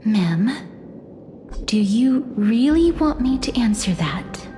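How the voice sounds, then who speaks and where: A woman playing a calm, resolute young heroine in a video game, soft-spoken and earnest. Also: a little high, slow. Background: music.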